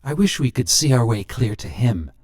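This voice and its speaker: A man voicing a suave, sinister stranger who collects souls, talking smoothly and businesslike, a little pious and simpering. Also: mid-range voice, big pitch swings, normal speed, a little mellow, natural voice. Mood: smooth menacing.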